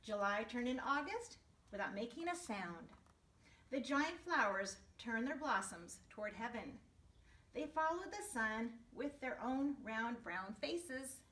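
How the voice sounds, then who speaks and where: High, bright woman teacher in an echoey room. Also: measured pace. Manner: expressive, explaining to children, spells out words. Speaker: first grade teacher reading to her class. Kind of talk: storybook read-aloud for young children with asides. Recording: echoey room, distant microphone.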